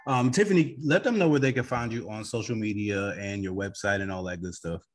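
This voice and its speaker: A man's voice: on the low side, brisk. A man casually hosting an interview show and putting questions to his guest.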